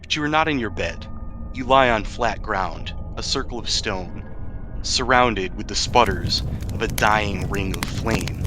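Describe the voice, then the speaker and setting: A man's voice: fairly low pitch, noisy background. A man acting as game master of a tabletop game, shifting between dramatic narration and casual hosting. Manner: dramatic storytelling delivery.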